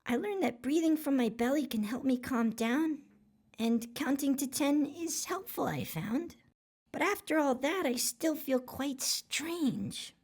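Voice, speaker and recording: A woman as little storybook boy, high voice, slow careful delivery, earnest and polite. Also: expressive, a little mellow. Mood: earnest, unsettled.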